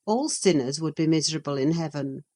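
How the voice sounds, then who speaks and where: A woman's voice: low-pitched, mellow. A woman reading a sensible, reproving woman servant. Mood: protesting. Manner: measured, reproving. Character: sensible, practical woman servant, cautious and superstitious. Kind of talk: audiobook character reading.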